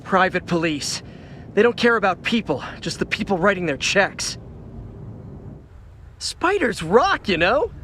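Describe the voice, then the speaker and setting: Boyish game hero, very high voice, bouncy and eager, slight ambient noise. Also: male voice, very animated, measured pace, pauses often, fairly bright tone, full character voice. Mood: eager, earnest. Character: youthful eager wisecracking spider hero. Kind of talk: video game character voice line.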